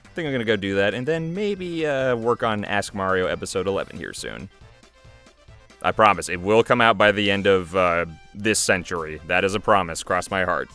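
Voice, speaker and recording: Young guy doing chatty commentary on his own show, lowish clear voice, lively, jokey. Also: normal speed, soft background music. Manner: chatty, joking. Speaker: web series creator and voice actor. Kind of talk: casual video commentary.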